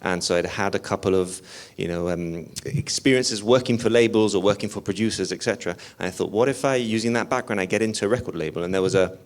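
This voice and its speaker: A man's voice: on the low side, fast talker, few pauses. A man with a slightly whiny edge, chatting casually and self-deprecatingly as a musician being interviewed. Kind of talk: casual anecdotal interview talk.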